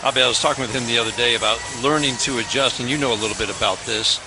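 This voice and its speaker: A man calling baseball play-by-play on the radio, briskly, his voice rising on big plays. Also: medium pitch, noisy background. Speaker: play-by-play announcer.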